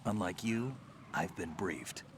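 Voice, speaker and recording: A terse game soldier, very deep, gruff and clipped, with noise behind. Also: male voice, slow pace. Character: gruff middle-aged male soldier, tough and terse. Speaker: soldier ally on radio.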